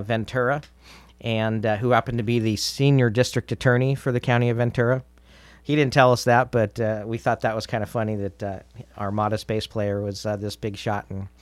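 A middle-aged man chatting casually as an interview guest, telling stories in a halting, easygoing way. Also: medium pitch, no room sound. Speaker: interview guest, drummer in a band.

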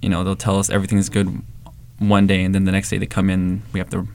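A man's voice: deep voice, quick pace. A young man from the Valley, co-owner of an ice cream shop, telling stories casually with lots of fillers and restarts. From Los Angeles, San Fernando Valley. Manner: relaxed storytelling, many fillers and restarts. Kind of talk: casual interview answers.

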